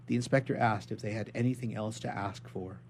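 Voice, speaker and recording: A man's voice: fairly low pitch, fairly even intonation, fairly quick, darkish tone, slight background noise. A man appearing as a radio guest, chatting informally and reading literary prose aloud. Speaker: guest reader on a radio show.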